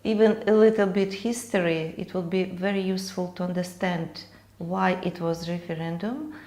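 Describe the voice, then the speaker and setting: A woman's voice: on the low side, steady tone, normal speed, mellow. A woman from Crimea, answering interview questions earnestly with hesitant fillers. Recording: echoey room.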